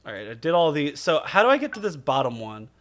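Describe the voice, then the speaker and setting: A man doing comedic live commentary over a game, talking in an excitable, effusive, exclamatory way. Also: a little high, fast talker. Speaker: game streamer.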